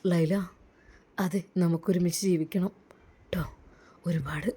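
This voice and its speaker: A woman voicing a gentle, loving young male doctor who speaks softly and reassures his new wife. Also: fairly low pitch, fairly even intonation, measured pace, husky, pitched below the performer's own voice. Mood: tender. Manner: soft, tender, reassuring.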